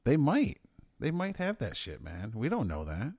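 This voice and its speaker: A man co-hosting a podcast in casual chat, excitable and swearing as he riffs on questions. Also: medium pitch, moderate pace, breathy edge, no room sound, clean studio sound. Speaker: podcast co-host.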